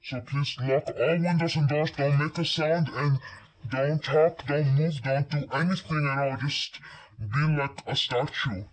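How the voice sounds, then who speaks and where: A man delivering an amateur emergency alert announcement in halting, improvised phrasing. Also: on the high side, low-quality recording.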